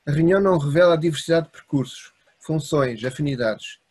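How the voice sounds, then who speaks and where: Man, European Portuguese accent, university lecturer, husky voice, measured enumerating delivery, video call webcam. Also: a little high, darkish tone. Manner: measured, enumerating in short phrases. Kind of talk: academic lecture read from notes.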